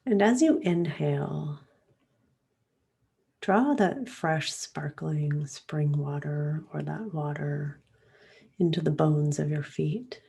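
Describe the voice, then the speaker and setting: A woman leading a guided meditation in a soft, calm, soothing and unhurried way, with long pauses and breath at the ends of phrases. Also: sits low, vocal fry, slightly rough.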